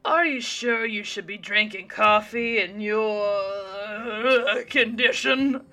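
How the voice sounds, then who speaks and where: A woman's voice: mid-range voice, takes it slowly, bright, forceful tone, voice pushed up. A woman voicing a young waitress who is prim and disapproving, hesitant at first and then indignant. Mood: hesitant, judgmental.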